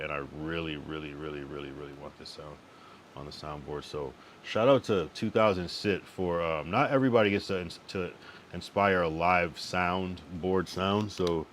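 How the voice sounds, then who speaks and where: A man's voice: low voice, a little hiss or hum. A young man from the US East Coast chatting loosely on a late-night live stream, laid-back and profane, often repeating his phrases. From Connecticut and Massachusetts, US East Coast.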